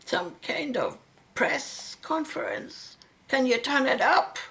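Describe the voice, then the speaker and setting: A woman's voice: sits high, big pitch swings, slow, gravelly, a bit bright, exaggerated voice. A woman playing an anxious, protective mother in a radio play. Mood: uneasy.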